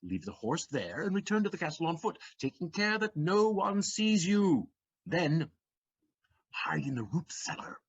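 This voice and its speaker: A swaggering, shrewd manservant acted by a man speaks in a high, husky voice that swings widely as he declaims. He is mocking and eloquent in the manner of a stage comedy, heard on a slightly dull audio play recording. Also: big pitch swings, measured pace, full character voice. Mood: scheming. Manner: declamatory, mocking, dramatic emphasis.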